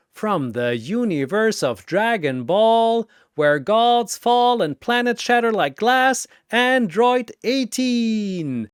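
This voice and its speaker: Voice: clear, resonant tone, no room sound, studio quality. A narrator and arena announcer delivering dramatic scripted battle storytelling in a theatrical manner. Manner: theatrical, booming announcements alternating with hushed storytelling.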